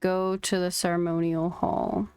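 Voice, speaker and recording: A woman's voice: lowish voice, level tone, a little slow, darkish tone, clean tone, dry recording. Casual woman streamer, dry close sound. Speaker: game streamer. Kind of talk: casual livestream commentary.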